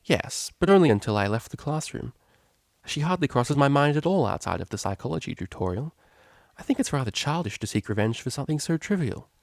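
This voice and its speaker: A prim young cellist mare in a storybook, played by a male reader, lifted in pitch from his own voice. She is proud and formal, choosing measured, articulate words. The recording is dry and close. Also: sing-song, creaky, dry recording. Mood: composed, dismissive.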